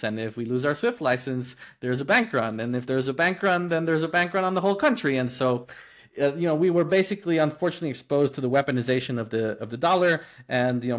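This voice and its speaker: A middle-aged man from Guatemala speaks as an interview guest, earnest and explanatory. His voice sits at an ordinary middle pitch. He often restarts phrases and leans on fillers while he thinks. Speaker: guest, company co-founder. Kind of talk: conversational interview.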